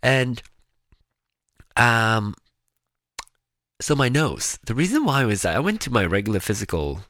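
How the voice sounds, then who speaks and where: A man chatting casually in a rambling personal monologue, sounding nasal and congested, with a slightly raspy, gravelly voice. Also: mid-range voice, very animated, a little slow, a bit bright. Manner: rambling, chatty, with frequent restarts. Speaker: vlogger.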